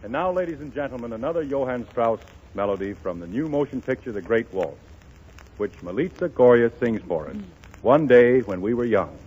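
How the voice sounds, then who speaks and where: A man's voice: medium pitch, expressive, a little fast. A man acting as master of ceremonies, announcing in 1930s radio show style. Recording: very noisy, muffled 1930s radio broadcast.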